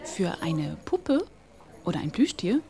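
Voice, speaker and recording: A woman playing an unhurried, mildly puzzled shop saleswoman in a children's radio play. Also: fairly low pitch, very animated, mellow, heavily acted.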